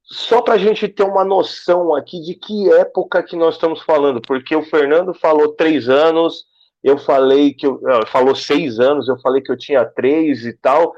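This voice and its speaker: A man of forty hosts a casual chat among friends, exclaiming loudly and asking the others questions. His voice is a little high for a man. The sound is muffled and lo-fi. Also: moderate pace. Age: 40s. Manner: animated, exclaiming, steering the talk.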